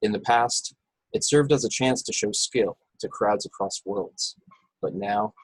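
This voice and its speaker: A man's voice: lowish voice, measured pace, husky, no echo, poor audio. A man reading scripted action descriptions as narrator in an informal, unpolished way on an amateur online table read. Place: video call from a room.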